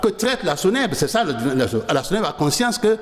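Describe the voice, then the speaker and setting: A man's voice: high-pitched, big pitch swings, a little fast, reverberant, in a large hall. A man being interviewed in a studio, arguing insistently and lecturing, with frequent restarts. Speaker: studio guest, sanitation advocate.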